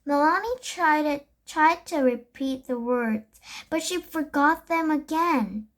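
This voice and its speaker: High young voice, child reader, slow pace, halting storybook read-aloud, self-correcting. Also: fairly bright tone. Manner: halting, stumbles and corrects words.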